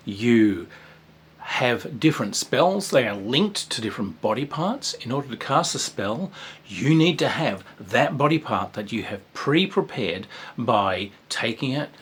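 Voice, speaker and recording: A man with a husky, slightly breathy voice of middling pitch, talking through a game review in a loose, rambling way. He sighs often and drops into whispered asides and exclamations. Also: fairly bright tone. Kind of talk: casual spoken review.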